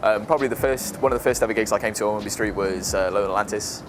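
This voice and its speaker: A young man with a lowish voice fondly recalls gigs, pausing now and then as he speaks. He is recorded outdoors on a city street, with traffic and street noise plainly audible. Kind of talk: interview answer.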